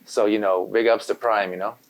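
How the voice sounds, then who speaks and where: A man's voice: lowish voice, fairly even intonation, brisk, gravelly, low-quality recording. A man from Los Angeles whose first language is Spanish, a graffiti artist guest chatting casually in an interview, rambling with lots of "you know.". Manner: laid-back, rambling, full of "you know".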